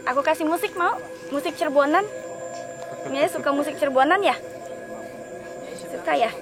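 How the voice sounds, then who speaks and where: A woman hosting an on-location paranormal video, gently coaxing and questioning a possessed volunteer in an outdoor field. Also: high-pitched, moderate pace. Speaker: host of paranormal channel. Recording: loud music behind, outdoor field. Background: loud music.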